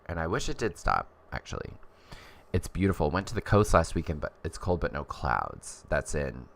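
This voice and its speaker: A man's voice: extremely low pitch, big pitch swings, moderate pace, gravelly, fairly bright tone. A man in his thirties chatting casually on a livestream, rambling as he reads viewer comments. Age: 30s. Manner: chatty and rambling, many fillers.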